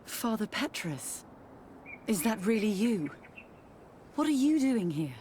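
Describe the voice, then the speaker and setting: An actress playing an uneasy, pious nun with a formal, wary manner in a video game. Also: female voice, medium pitch, very animated, slow, mellow, a little hiss or hum. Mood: surprised. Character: uneasy, pious investigating nun, adult woman. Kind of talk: video game cutscene acting.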